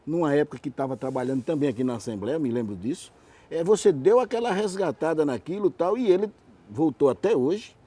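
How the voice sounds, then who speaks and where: A man hosting a conversational TV interview in a halting, wandering way. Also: on the high side, animated, dark tone, breathy edge. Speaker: TV interview host.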